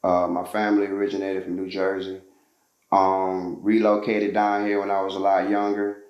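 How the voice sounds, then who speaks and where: A man's voice: low voice, monotone, normal speed, echoey room, highs cut off. A man from Georgia, a musician telling his story as a guest in a casual interview, loose and slangy with lots of fillers.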